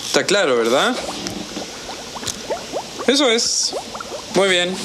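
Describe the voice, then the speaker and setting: A man playing a scheming, smug boss who gives deliberate, insistent instructions in an audio drama. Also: sits high, very animated, noisy background, heavily acted. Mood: controlling, insistent. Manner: deliberate, insistent, checking he is understood.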